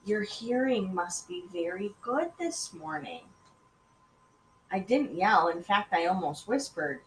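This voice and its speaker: A woman voicing a calm, practical father in a children's storybook reading. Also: fairly low pitch, normal speed, lightly acted. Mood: quiet, puzzled. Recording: echoey room with faint noise.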